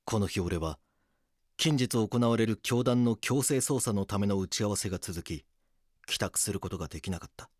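An actor playing a stern but caring police detective who narrates an audio drama in a serious, measured way. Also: male voice, fairly low pitch, dry recording, clean studio sound, lightly acted. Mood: grave. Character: stern male police detective narrating, gruffly tender husband. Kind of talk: scripted documentary-drama narration and acting.

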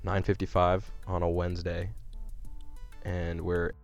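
A man's voice: low-pitched, completely flat delivery, unhurried, gravelly, soft background music. A man co-hosting a podcast in casual chat, recorded inside a van on an inexpensive USB microphone. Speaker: podcast co-host. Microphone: cheap USB microphone.

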